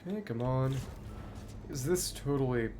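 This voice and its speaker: Casting: live gameplay commentator, medium pitch, muttered running remarks and read-aloud text, noisy recording with clicks. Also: lively intonation, normal speed. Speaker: video game streamer. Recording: clear background noise, clicks.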